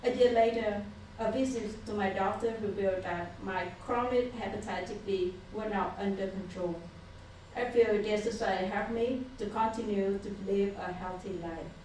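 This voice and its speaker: Woman w/ a vietnamese accent reading slowly off a paper, far from the mic in a big echoey room. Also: mid-range voice, steady tone, darkish tone, slight background noise. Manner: careful, halting reading from paper. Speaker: public commenter. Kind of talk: reading a prepared statement. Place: city council chamber.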